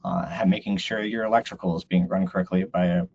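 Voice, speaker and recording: Low, husky-voiced man presenting. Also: fairly even intonation, fast talker, darkish tone. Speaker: webinar presenter. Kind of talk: explanatory slide presentation. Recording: dull, lo-fi online audio.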